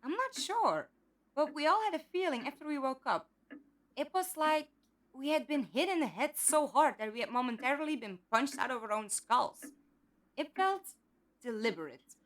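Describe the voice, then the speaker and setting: An earnest, indignant captive heroine from an audio drama, played by a woman. Her voice sits high and moves with a wide, expressive melody. She speaks emphatically, as someone who cares deeply. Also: moderate pace. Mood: uneasy. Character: Five, earnest indignant female abductee with amnesia.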